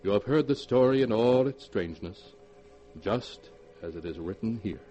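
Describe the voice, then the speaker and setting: An actor narrating a 1940s radio horror series as the keeper of a mysterious book, speaking with dramatic foreboding. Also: male voice, fairly low pitch, animated, moderate pace, dark tone, highs cut off, faint music behind, lightly acted.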